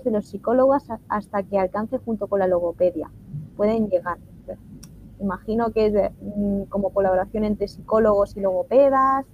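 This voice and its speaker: A woman moderating a live conference Q&A, reading out audience questions and affirming answers. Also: medium pitch. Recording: dull lo-fi sound, faint noise.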